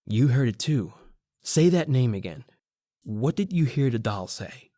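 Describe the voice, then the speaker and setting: A man playing a haunted, brooding newspaper reporter who narrates his tale in old-time radio horror style, with dramatic pauses. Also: medium pitch, animated, dark tone, no echo, clean studio sound, close to an everyday voice. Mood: intent questioning.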